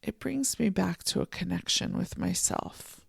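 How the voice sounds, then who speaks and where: A podcast host giving a soft, contemplative solo monologue from a home office, voice a little froggy this morning. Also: mid-range voice, vocal fry, slightly rough, no echo. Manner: soft, slow and contemplative, with gentle restarts. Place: home office and art studio.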